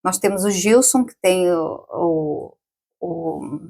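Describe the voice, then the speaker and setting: A woman's voice: fairly low pitch, slow, gravelly, mellow. A woman in her forties, a podcast guest, chatting casually, laughing often and tagging questions onto her sentences. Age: 40s. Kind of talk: casual interview chat.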